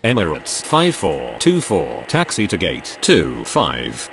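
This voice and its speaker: Man as a deep, gravelly male air traffic voice. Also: huge pitch swings, slow pace, fairly bright tone, exaggerated voice. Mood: official, steady. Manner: formulaic, official. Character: deep-voiced male pilot and controller, steady, official. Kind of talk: scripted aviation radio phraseology.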